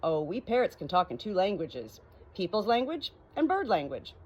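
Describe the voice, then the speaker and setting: A woman voicing a proud, bossy female parrot in a high, insistent, instructive voice for a storybook. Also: big pitch swings, normal speed, slightly rough, highs cut off.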